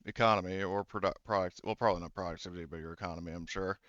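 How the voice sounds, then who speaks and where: Male game master, smooth and fairly low, casual tabletop talk, dry but slightly lo-fi recording. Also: fairly even intonation, rapid-fire, a bit bright, dry recording.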